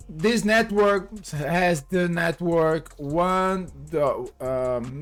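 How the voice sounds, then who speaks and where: A Brazilian-accented man and non-native English speaker, explaining networking in a casual tutorial, chatty and full of fillers, acting out little dialogues. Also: fairly high pitch. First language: Portuguese. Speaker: networking instructor.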